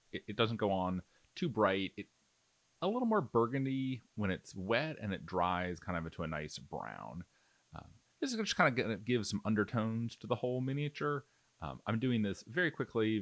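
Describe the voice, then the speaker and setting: A man's voice: lowish voice, a bit bright, no room sound. A man giving a casual, explanatory step-by-step tutorial voice-over in an informal way. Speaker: miniature painting tutorial host.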